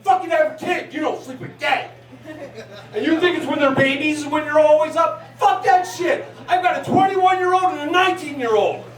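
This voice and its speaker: A man in his forties doing a crude stand-up set, profane and brash, shouting at the crowd. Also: extremely high pitch, brisk. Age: 40s. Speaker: stand-up comedian. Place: large hall at a live show. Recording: echoey hall recorded from a distance with crowd noise. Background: laughing crowd.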